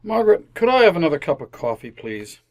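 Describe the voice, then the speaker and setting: Animated, flustered radio dad. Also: male voice, fairly high pitch, quick pace, creaky, a little hiss or hum. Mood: concerned. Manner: earnest, defensive. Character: stubborn, flustered, well-meaning suburban husband and father. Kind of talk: old-time radio family comedy acting.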